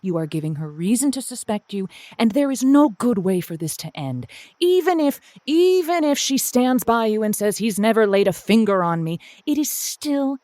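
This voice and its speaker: A woman's voice: on the high side, big pitch swings, normal speed, warm tone, no room sound. A woman playing a frank, sympathetic advice host on an improvised comedy call-in show. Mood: frank, counselling.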